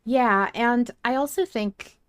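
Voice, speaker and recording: A woman's voice: on the high side, takes it slowly, smooth, no room sound, clean studio sound. A woman hosting a podcast, talking casually and with plenty of opinions in a fan discussion. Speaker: podcast host.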